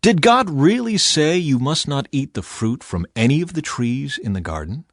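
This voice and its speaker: A man's voice: a little high, very animated, normal speed, no echo, clean studio sound. A man voicing a shrewd male serpent in a scripture audiobook, sly and persuasive as a tempter. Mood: sly, coaxing.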